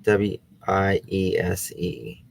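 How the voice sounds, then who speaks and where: A man's voice: low voice, extremely slow, creaky, darkish tone, slightly rough. A man hosting a true crime channel, thinking aloud in casual talk as he looks things up during a case discussion. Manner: thinking aloud while searching online.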